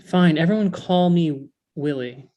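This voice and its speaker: An actor playing an exasperated, earnest, jealous male elf in a comedy table read, indignant and halting. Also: mid-range voice, muffled recording, natural voice.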